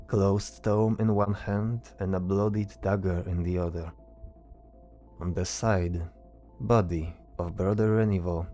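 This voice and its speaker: A man's voice: deep voice, mellow. A man giving scripted fantasy narration as a storyteller. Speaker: narrator, game master.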